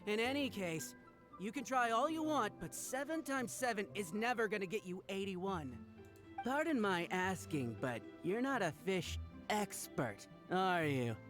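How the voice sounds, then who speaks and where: Game role: earnest male fishmonger, salesmanlike, very high animated voice, music clearly behind. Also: measured pace. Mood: apologetic, exasperated. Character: eager, exasperated male fishmonger hawking fresh seafood. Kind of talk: video game voice acting.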